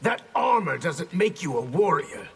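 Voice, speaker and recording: Brutal, sneering enemy warrior. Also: male voice, fairly high pitch, very animated, measured pace, breathy edge, full character voice. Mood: contemptuous. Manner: aggressive, sneering. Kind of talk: video game character dialogue.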